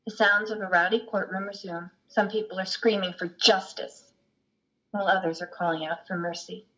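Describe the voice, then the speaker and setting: A woman's voice: mid-range voice, steady tone, moderate pace, a bit bright. A middle-aged woman reading scripted stage directions as a play's narrator over a video call. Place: room at home. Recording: dull video-call audio.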